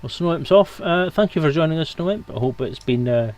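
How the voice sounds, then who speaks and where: A man's voice: a little high, lively intonation, brisk, darkish tone. A man hosting a livestream in casual, chatty, friendly banter with guests. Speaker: stream host. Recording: clear background noise.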